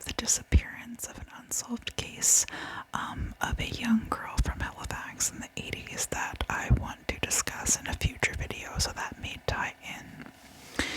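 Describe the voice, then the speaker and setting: Female ASMR host for true crime: breathy whisper throughout, unhurried, very even delivery, gentle and informal. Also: fairly high pitch, poor audio.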